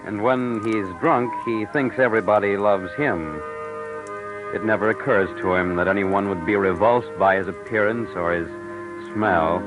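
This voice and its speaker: A man narrates in a measured, dry manner with a low voice. Music plays clearly under his words. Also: fairly even intonation, rough recording. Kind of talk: scripted narration. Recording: old radio recording with music.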